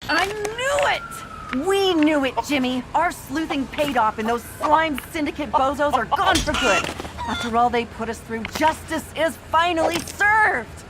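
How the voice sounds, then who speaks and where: A woman playing a confident, plucky newspaper reporter in an animated video game, crisp and self-assured. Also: high voice, measured pace. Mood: triumphant. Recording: clearly noisy background.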